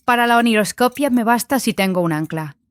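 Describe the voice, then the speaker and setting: A woman's voice: a little high, expressive, fairly quick, no echo, close to an everyday voice. A woman playing a proud, earnest young female apprentice in an audiobook, precise and slightly hesitant. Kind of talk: dramatized audiobook acting.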